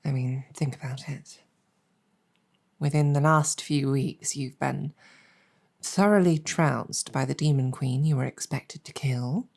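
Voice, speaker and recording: A woman playing a courteous, wry female demon general in an improvised ASMR roleplay, speaking softly with measured, hesitant phrasing. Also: rumbling low, vocal fry, full character voice.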